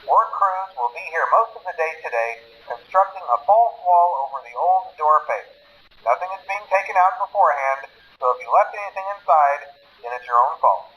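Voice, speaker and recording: A man playing an awkward, polite corporate trainer in a video game, leaving deadpan workplace instructions through a telephone filter. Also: fairly high pitch, moderate pace. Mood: awkward, matter-of-fact. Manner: hesitant greetings, then polite deadpan procedural delivery. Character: nervous, cheerful corporate phone trainer at a pizzeria. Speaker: employee training caller. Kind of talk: recorded workplace training message. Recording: muffled lo-fi phone recording with faint noise.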